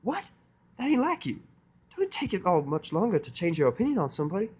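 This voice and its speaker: A man's voice: high-pitched, huge pitch swings, quick pace, husky, pitched above the performer's own voice, exaggerated voice. A man voicing a plain-spoken, skeptical female farm pony who talks in folksy country dialect. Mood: shocked, curious.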